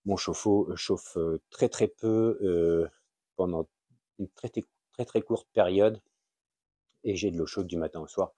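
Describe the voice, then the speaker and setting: A man giving an informal, unscripted explainer as a video host, halting and often searching for words. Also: deep voice, warm tone, no room sound, clean studio sound. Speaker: YouTube channel host sharing a tip.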